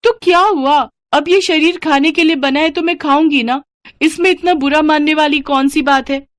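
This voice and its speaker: A woman's voice: high voice, fairly even intonation, normal speed, dry recording, voice pushed up, close to an everyday voice. A woman voicing a carefree, defiant, food-obsessed young daughter-in-law in a cartoon moral story, with a whiny, self-indulgent manner. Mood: indignant.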